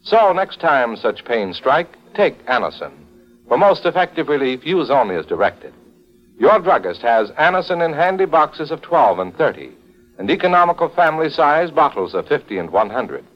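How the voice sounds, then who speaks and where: Animated 1940s radio announcer, muffled recording. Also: male voice, a little high, normal speed.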